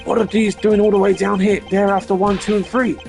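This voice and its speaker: A man's voice: high-pitched, level tone, fairly quick, creaky, a little mellow, faint music behind, voice pushed up. A man voicing a bumbling, earnest male police detective who stammers and keeps saying sir, in a fan dub of a video game. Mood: puzzled. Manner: stammering, calls everyone sir.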